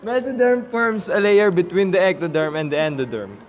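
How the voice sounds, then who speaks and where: A man's voice: high voice, animated, fairly quick, background noise. A man playing a doctor who explains science in a scripted school puppet show. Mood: explaining.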